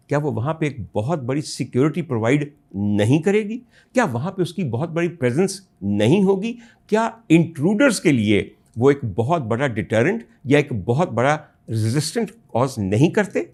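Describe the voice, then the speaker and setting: A man with a Pakistani Urdu accent hosting a political commentary show, delivering a rhetorical monologue to his audience that builds to loud indignant outbursts. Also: medium pitch. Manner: addresses listeners as ladies and gentlemen.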